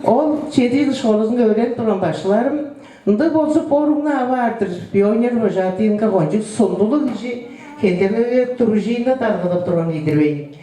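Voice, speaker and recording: An older woman with a Tuvan accent, a native Tuvan speaker, giving a slow, halting ceremony speech full of pauses. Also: a little high, mellow. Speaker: honored guest giving a speech. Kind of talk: ceremony speech, personal recollection. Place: event hall. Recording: roomy hall, voice from a distance.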